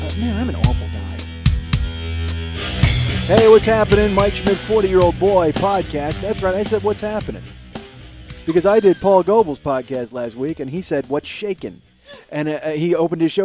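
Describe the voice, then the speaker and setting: A man in his forties with a slightly hoarse voice, hosting a podcast as a casual comedic monologue, rambling, profane and self-deprecating. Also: sits high, lively intonation, normal speed, dark tone, faint music behind. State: slightly hoarse from strained singing. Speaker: podcast host.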